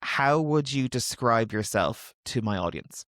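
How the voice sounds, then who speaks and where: A man hosting an interview, asking friendly, gently hesitant questions and teasing his guest. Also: medium pitch, animated, measured pace, no echo, clean studio sound. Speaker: interviewer host.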